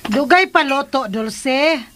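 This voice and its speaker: Radio drama mother: Cebuano, childlike and plaintive, high-pitched and very slow in delivery. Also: lively intonation, music in the background. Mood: plaintive, needy. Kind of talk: Philippine radio drama acting.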